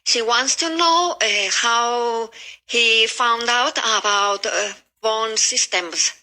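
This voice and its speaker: A woman's voice: fairly high pitch, sharp tone, poor audio. A woman, a native Spanish speaker, hesitantly repeating sentences in an English class. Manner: hesitant, halting. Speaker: student. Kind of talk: classroom practice.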